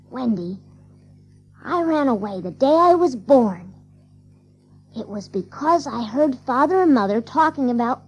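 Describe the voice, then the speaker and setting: Cocky, high-voiced little boy. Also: darkish tone, husky, heavily acted. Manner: boastful, childlike. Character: cocky, boastful ageless little boy who can fly. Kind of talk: audio drama acting of a storybook play.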